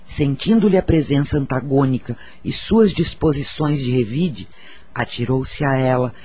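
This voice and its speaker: An actor playing a solemn, wise spiritual counsellor in a radio soap, preaching and storytelling in a measured way with a Brazilian accent. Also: male voice, mid-range voice, a little mellow, breathy edge. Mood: grave storytelling.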